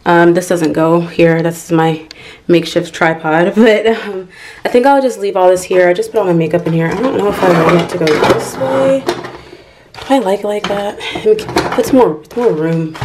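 A woman's voice: on the low side, normal speed. A young American woman chatting casually for a vlog, thinking aloud in an informal way as she goes. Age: young adult. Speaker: lifestyle vlogger. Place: small tiled bathroom, indoors. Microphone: camera mic at arm's length or propped nearby. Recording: echoey bathroom, clear household clatter noise.

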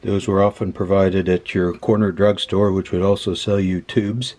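A man casually talking through a hands-on repair as host, unhurried and dryly humorous. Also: low voice, little pitch movement, darkish tone. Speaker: host of an electronics repair video. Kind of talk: casual hands-on demonstration commentary. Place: indoor workbench. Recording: clear background noise.

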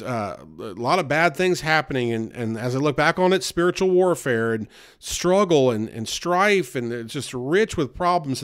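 Earnest preacher man, fairly high voice. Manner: earnest, frequent amens and rhetorical questions. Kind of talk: sermon.

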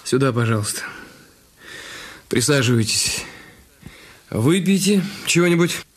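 An actor playing a curt, cornered husband with a guilty secret in a radio drama, his voice husky. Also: medium pitch, expressive, a little slow. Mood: grudging. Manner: curt, brusque. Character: cornered, irritable husband hiding a crime.

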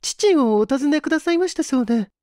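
A man voicing a polite, refined young lady of eighteen, softly feminine, in an audiobook. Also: very high voice, expressive, fairly quick, no echo, clean studio sound, pitched above the performer's own voice. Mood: polite, apologetic. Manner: very polite, soft feminine speech.

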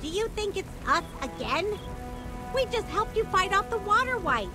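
A woman's voice: very high-pitched, sing-song, moderate pace, music in the background. A woman voicing an earnest young adventurer companion in a game.

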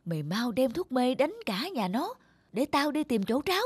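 A sly cartoon thief. He is bossy and scheming, talking in a hushed, conspiratorial way with swooping intonation. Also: very high voice, big pitch swings, moderate pace, breathy edge, no room sound, full character voice. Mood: scheming, urgent. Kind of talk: cartoon dialogue.

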